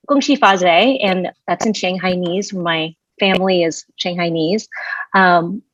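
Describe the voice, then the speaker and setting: A woman's voice: mid-range voice, a bit bright, no room sound, muffled recording. A woman from the United States introducing herself as a panelist on a video call, friendly. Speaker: panelist, design director.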